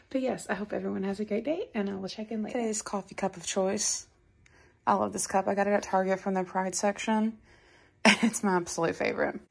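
A young adult woman chatting casually to her phone camera in a home kitchen, sounding tired, unhurried and rambling. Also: mid-range voice, creaky, husky. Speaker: vlogger. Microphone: phone front camera at arm's length.

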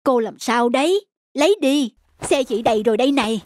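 A woman's voice: sits high, animated, brisk, a little mellow, dry recording, studio quality. A woman voicing a kind, insistent older scrap collector in a plain-spoken, big-sisterly way. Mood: kindly insistent.

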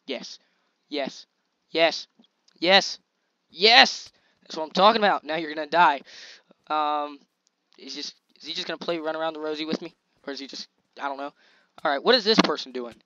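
Excitable young man with a scratchy voice. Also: fairly high pitch, moderate pace, pauses often, no echo, dull audio. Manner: excitable, chatty, slangy hype while playing. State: slightly scratchy voice. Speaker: gaming channel host. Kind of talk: casual gameplay commentary.

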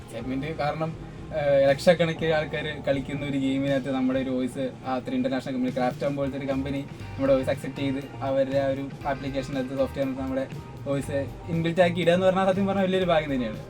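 A man's voice: mid-range voice, steady tone, racing, music in the background, low-quality recording. A man chatting casually as an interview guest in a dubbing studio. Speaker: interviewee, sound engineer and dubbing artist. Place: small dubbing studio suite.

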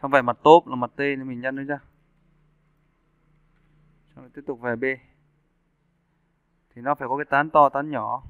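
A man giving a casual, step-by-step software tutorial as an animation instructor. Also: medium pitch, little pitch movement, normal speed, pauses often, clean tone, no room sound. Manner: informal step-by-step explaining. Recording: muffled narrowband phone-like audio.

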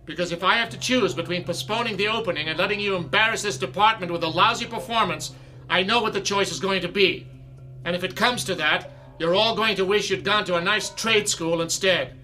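An exasperated theatre director in a radio drama, stern and used to command. His voice is high and forceful, with a sarcastic edge, over faint music. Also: fairly quick, low-quality recording. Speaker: actor.

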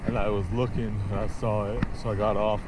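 A man's voice: lowish voice, flat delivery, brisk, noisy background. A man casually narrating a bike ride outdoors, rambling and searching for words, recorded on a bike-mounted action camera. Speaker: cycling vlogger. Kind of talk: casual narration while riding. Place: outdoors on city streets, riding a bike.

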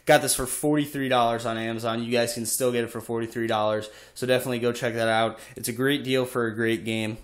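A man's voice: medium pitch, level tone, fast, hardly any pauses. Young man with a stuffy nose. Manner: chatty, many "uh" fillers. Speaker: video game collector vlogger. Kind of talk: casual show-and-tell. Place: echoey room at home, off camera.

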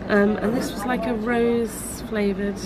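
Slow-talking woman, crowded restaurant. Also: on the high side, steady tone, rough recording. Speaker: dining guest. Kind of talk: casual chat. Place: busy buffet restaurant. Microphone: camera across the table. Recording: loud restaurant crowd behind.

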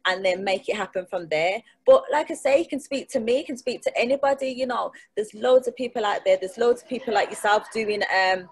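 A woman's voice: medium pitch, fairly quick, hardly any pauses, husky. A woman, speaking as a guest on a video call from her living room, talking in an emphatic, motivational way and repeating phrases for stress. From England. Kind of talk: casual video call interview. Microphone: webcam or laptop mic on a video call.

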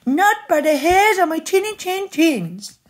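A woman voicing a small, excitable, defiant little pig from a storybook, in squeaky, sing-song exclamations. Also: unhurried, heavily acted. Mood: frightened defiance. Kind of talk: children's storytelling.

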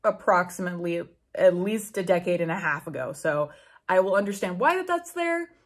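A woman's voice: fairly low pitch, lively intonation, moderate pace. A woman in her twenties giving casual, sweary, incredulous reaction commentary as she reads aloud in a room at home. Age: 20s. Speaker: book YouTuber. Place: small room at home with bookshelves.

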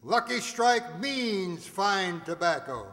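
A man playing an earnest, emphatic cigarette pitchman in an old 1940s radio show, declaiming his sales lines. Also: lively intonation, slow, fairly bright tone, full character voice. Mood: earnest selling. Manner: declamatory sales patter with built-up repetition. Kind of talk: 1940s radio advertisement acting. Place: stage in a hall. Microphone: microphone on a stand. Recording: echoing hall sound.

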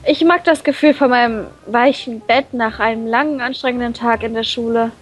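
A woman's voice: on the high side, fairly even intonation, normal speed. A playful woman reading a visual novel expressively for a let's play, with casual banter in between. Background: background game music.

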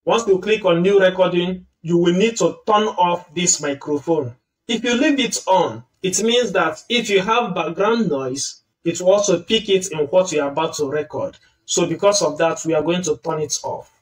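A man's voice: sits high, normal speed, recorded from a distance, poor audio. A man hosting a screen-recorded tutorial, patiently explaining each step. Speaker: tutorial host.

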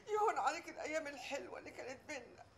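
A woman's voice: high-pitched, a little hiss or hum. An actress playing an older divorced mother who nags and curses her ex-husband, with an Egyptian accent. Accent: Egyptian Arabic. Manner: nagging, cursing, mocking. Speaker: Umm Mar'i, ex-wife. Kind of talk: radio drama acting.

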